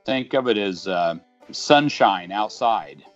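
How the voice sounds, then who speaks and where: A man's voice: fairly low pitch, lively intonation, slow pace. A middle-aged man in an easygoing, conversational voice-over, explaining an HVAC installation with homespun comparisons. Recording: dull lo-fi audio with faint guitar music.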